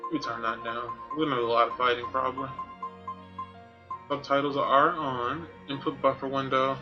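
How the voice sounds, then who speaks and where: A man's voice: medium pitch, little pitch movement, normal speed, muffled recording. Male game streamer, mid-range voice, offhand casual commentary, music playing behind. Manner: offhand, muttering to himself.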